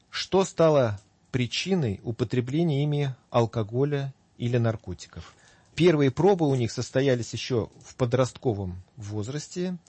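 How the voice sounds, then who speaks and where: A man narrates a news report in a measured, informative way. His voice is husky and dark, and the recording is dry and close with no room sound. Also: medium pitch, no echo. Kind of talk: documentary voice-over narration.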